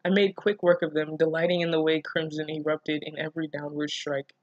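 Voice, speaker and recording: A woman with a slightly low voice reads a story aloud in a casual, reactive way. She sounds incredulous and self-deprecating, dropping in swearing as commentary. The recording is close and dry, with little room sound. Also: level tone, fairly quick, no echo, lo-fi sound. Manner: giggly, breaking off to comment. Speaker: host reading fan fiction aloud.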